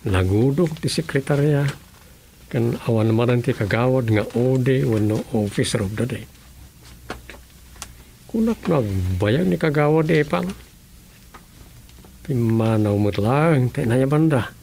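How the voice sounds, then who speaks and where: A man's voice: medium pitch, expressive, measured pace, vocal fry, long pauses, warm tone, slight background noise. A man playing a world-weary village official in a conversational radio drama style. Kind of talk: radio drama dialogue in Ilocano.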